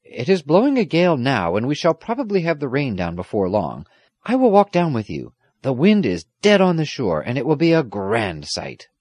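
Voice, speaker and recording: Man playing a hearty, excitable old country squire. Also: medium pitch, moderate pace, dry recording, pitched above the performer's own voice. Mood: brisk. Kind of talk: audiobook character acting.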